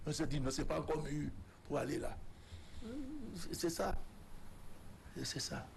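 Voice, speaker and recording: Political guest, male; slightly high, gravelly voice; emphatic delivery with frequent restarts. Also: normal speed, background noise. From Benin. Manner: emphatic, declarative, stumbling restarts. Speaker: political guest, resigned deputy. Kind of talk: televised political debate. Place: TV studio.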